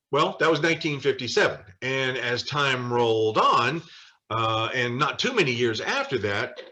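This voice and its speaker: A mature man leading a study group over a video call, lecturing informally and telling stories in an animated way. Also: mid-range voice. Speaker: Bible study leader. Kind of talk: informal lecture with personal anecdotes.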